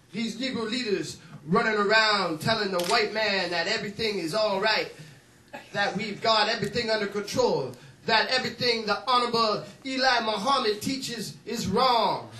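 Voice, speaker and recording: A man's voice: high-pitched, moderate pace, bright, forceful tone. A man performing a fiery male political preacher-orator in a comedy monologue, with emphatic, repetitive rhetoric. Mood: emphatic, indignant. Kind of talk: mock political oratory from a film. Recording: roomy, distant mic, faint noise.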